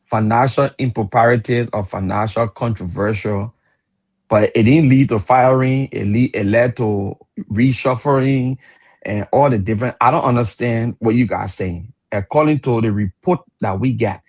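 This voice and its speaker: Man, 50s, Liberian English accent, husky breathy voice, animated radio panel debater, laughs mid-sentence. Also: medium pitch, normal speed. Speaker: radio talk show panelist. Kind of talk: heated political debate.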